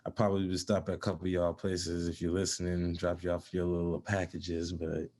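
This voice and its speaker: A man's voice: low-pitched, flat delivery, brisk, darkish tone. A young man born in Zimbabwe and raised in South Dakota, chatting casually as a podcast guest in laid-back slang. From born in Zimbabwe, raised in South Dakota from age four. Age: young adult. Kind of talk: casual chat.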